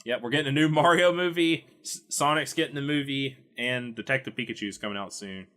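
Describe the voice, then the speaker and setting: Bright-voiced man chatting casually. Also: medium pitch, a little fast. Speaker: podcast co-host. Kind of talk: casual chat about video game movies.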